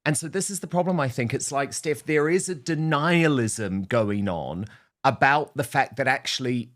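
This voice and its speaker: A man's voice: mid-range voice, normal speed, fairly bright tone. Earnest male talk-show host. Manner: leading questions, affirming, earnest. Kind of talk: political talk show hosting.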